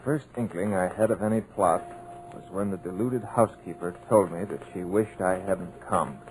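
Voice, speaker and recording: An actor playing a scriptwriter who muses aloud with folksy, wry asides, in 1940s radio drama style. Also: male voice, sits low, moderate pace, close to an everyday voice, low-quality recording. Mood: uneasy. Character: wisecracking young male scriptwriter, nervous underneath.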